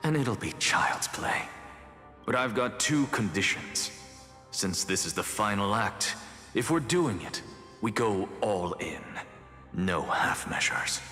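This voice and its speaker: An actor voicing an arrogant, theatrical demigod of reason in a game, speaking in measured, articulate, haughty lines. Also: male voice, on the low side, big pitch swings, bright, forceful tone, exaggerated voice. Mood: resolute. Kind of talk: dramatic game dialogue. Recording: reverberant hall with music underneath.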